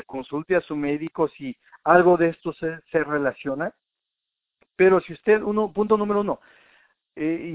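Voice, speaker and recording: A thin, phone-like recording carries a man's notably high voice, with very little room sound around it. He presents health information informally, like a teacher going through points, and speaks directly to the listener. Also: no room sound. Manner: didactic, addressing the listener directly. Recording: narrow, tinny phone-like sound.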